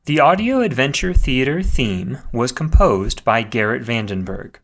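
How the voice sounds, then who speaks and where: A man announces production credits from a script. He takes his time, speaking slowly in a medium-pitched voice. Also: expressive, soft background music.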